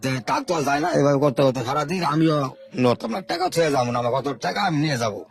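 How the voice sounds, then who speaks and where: Fast-talking older man. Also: on the high side, soft background music. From Bangladesh, Sherpur. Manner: unhurried rustic storytelling, repeating words. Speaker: interview guest. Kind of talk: telling his life story in an interview.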